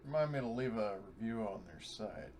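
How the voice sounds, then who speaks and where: A man's voice: medium pitch, fairly quick, darkish tone, lightly acted. A man playing a breezy, wisecracking officer who styles himself captain, in a folksy, wry way. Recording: noisy voice chat.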